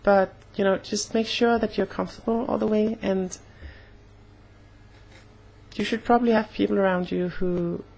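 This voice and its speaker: A young woman talking casually in a personal vlog, slightly hoarse, with an earnest, halting way of speaking. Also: mid-range voice, fairly even intonation, darkish tone, rough recording. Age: young adult. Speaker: vlogger.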